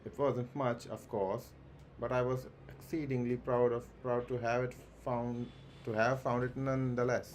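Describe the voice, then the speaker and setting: A man livestreaming a game, reading its dialogue aloud haltingly, with stumbles and self-corrections. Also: mid-range voice, little pitch movement, a little mellow. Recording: clearly noisy background.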